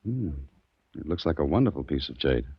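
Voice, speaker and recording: An actor playing a wry, inquisitive adventurer who also narrates, conversationally, in a 1940s radio drama. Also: male voice, extremely low pitch, big pitch swings, fairly quick, slightly rough, no echo, exaggerated voice. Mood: curious, amused. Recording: dull old 1940s radio recording.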